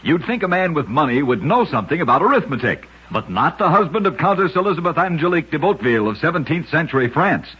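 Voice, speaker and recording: A man's voice: a little high, very animated, fast, few pauses, breathy edge. A man narrating short scripted oddity stories as a radio host, with a dramatic storytelling delivery. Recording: muffled vintage radio recording.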